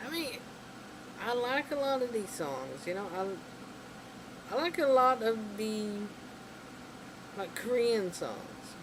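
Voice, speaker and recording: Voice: mid-range voice, very animated, takes it slowly, long pauses, background noise. A vlogger ranting casually to camera, rambling and exasperated, with lots of "you know" and "like" fillers. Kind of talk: casual opinion rant to camera.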